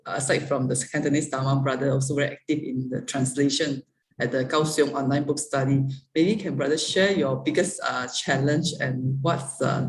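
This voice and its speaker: A man moderating an online meeting, polite and halting, with many fillers. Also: mid-range voice, reverberant, distant mic, a little hiss or hum, poor audio.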